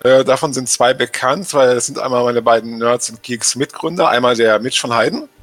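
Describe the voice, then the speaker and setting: A man's voice: mid-range voice, brisk, a bit bright. A man hosting a podcast, moderating an interview with guests. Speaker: podcast host.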